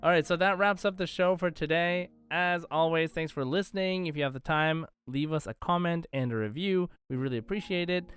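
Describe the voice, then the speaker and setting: A man's voice: on the high side, brisk, hardly any pauses, a bit bright, smooth, no echo, faint music behind. A man co-hosting a casual podcast about gaming, talking informally to a co-host and listeners.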